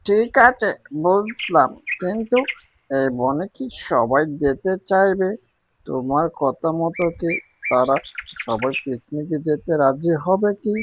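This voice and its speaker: A high-voiced cartoon tailorbird, acted by a man, sensible and encouraging. The bird advises in a measured way, over slight noise. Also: lively intonation.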